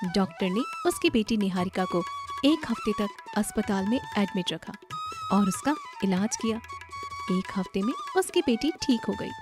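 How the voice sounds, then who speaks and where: Animated woman narrator, music behind. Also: medium pitch, moderate pace. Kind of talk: scripted storytelling narration.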